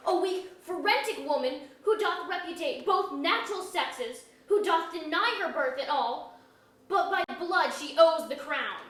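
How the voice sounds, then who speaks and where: A woman's voice: very high voice, animated, unhurried, fairly bright tone, full character voice. A woman playing an aggrieved, defiant commoner in an old-style verse drama, declaiming with passion. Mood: bitter defiance. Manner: declamatory, impassioned. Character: aggrieved, defiant commoner pleading, then cursing the crown. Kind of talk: staged reading of pseudo-Elizabethan verse drama. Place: museum auditorium, live reading. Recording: echoey auditorium, distant mic.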